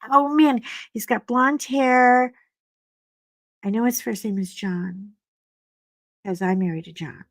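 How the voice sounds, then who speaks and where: Woman, middle-aged, slightly froggy voice, animated storyteller, unhurried chat, dry close sound. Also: dry recording. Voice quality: animated storyteller, swooping pitch on greetings. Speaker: live stream host. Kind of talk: casual live chat with viewers.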